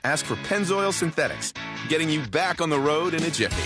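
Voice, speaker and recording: Male ad narrator, medium pitch, very varied intonation, loud music behind, storytelling commercial. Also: expressive, brisk. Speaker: commercial voice-over announcer. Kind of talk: scripted storytelling radio advertisement. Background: loud music bed.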